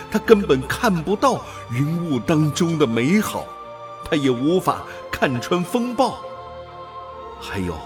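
Male actor for awestruck explorer in an alien body, radio drama; slow theatrical wonder, light music underneath. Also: fairly high pitch. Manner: theatrical, halting, exclamatory wonder. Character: awestruck man turned into alien Jovian creature, fervent explorer. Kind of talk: dramatic radio play acting.

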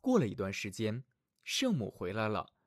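A man narrating a scripted fairy tale for small children as their storyteller, in an expressive, affectionate manner. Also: fairly low pitch, normal speed, dry recording, studio quality.

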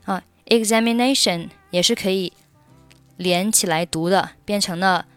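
A woman teaching English pronunciation, reading dialogue lines and explaining sound linking with slow, careful repetition, a Mandarin speaker. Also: medium pitch, clear, resonant tone, no room sound, soft background music. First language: Mandarin Chinese.

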